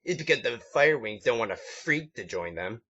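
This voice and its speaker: A man's voice: mid-range voice, big pitch swings, normal speed, pushed and bright, husky, exaggerated voice. A man voicing a smug young pony bully from a story, with a taunting, sneering manner. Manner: taunting, mocking. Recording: dull lo-fi home recording.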